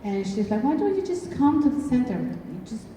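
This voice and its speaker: A woman's voice: fairly high pitch, very animated, fairly quick, dark tone. Woman in a very echoey hall. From Mexico City, Mexico. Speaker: panel speaker. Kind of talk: personal testimonial to an audience. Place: large echoing event hall. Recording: echoey hall, distant mic, faint noise.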